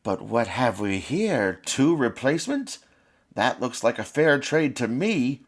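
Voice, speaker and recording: A man voicing a wicked female witch who feeds on children, speaking in a gloating, cruel, drawn-out way. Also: medium pitch, very animated. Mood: furious.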